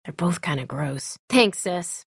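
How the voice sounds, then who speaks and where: Game role: blunt, sarcastic teen girl survivor, lively and varied delivery, very dry close sound. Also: on the low side, unhurried, husky, dry recording, full character voice. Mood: sullen, snarky. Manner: blunt, snarky, slangy. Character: tough, sarcastic, blunt teenage girl surviving a zombie apocalypse. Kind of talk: naturalistic video game voice acting.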